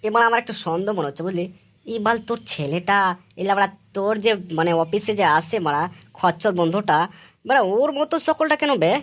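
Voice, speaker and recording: A woman's voice: mid-range voice, animated, quick pace, natural voice. A woman doing a crude, leering cartoon buddy. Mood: blunt, gossipy. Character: crude, leering, slangy young male friend. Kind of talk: comic Bengali cartoon dialogue.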